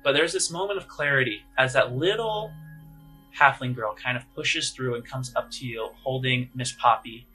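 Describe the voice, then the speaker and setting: A man's voice: medium pitch, normal speed, bright, forceful tone, faint music behind, rough recording. A man working as game master, improvising conversational, descriptive narration for a tabletop roleplaying game.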